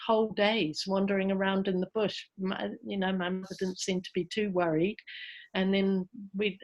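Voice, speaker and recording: A woman's voice: mid-range voice, poor audio. A woman giving an informal presentation on an online call, speaking in a hesitant, reflective way. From New Zealand. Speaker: presenter from a community organisation.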